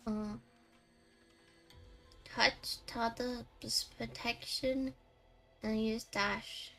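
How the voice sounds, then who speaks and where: A woman game streamer with a slightly high voice, commenting casually and at a very unhurried pace. Faint music plays behind her. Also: steady tone, sharp tone. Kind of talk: casual live gameplay commentary.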